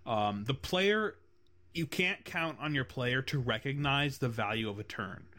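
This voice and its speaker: A man hosting a laid-back podcast about games. He talks at a middling pitch and wanders through his points with lots of fillers and restarts. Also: lively intonation, unhurried, sharp tone. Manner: rambling, many fillers like "you know" and "um". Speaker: podcast host. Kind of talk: casual podcast chat about game design.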